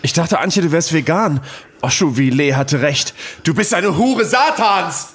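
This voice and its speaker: A man playing a whiny, easily frightened car dealer in a comic radio play, with a pleading manner and a husky voice. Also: a little high, animated, measured pace, a bit bright, heavily acted. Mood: panicked. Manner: whining, pleading.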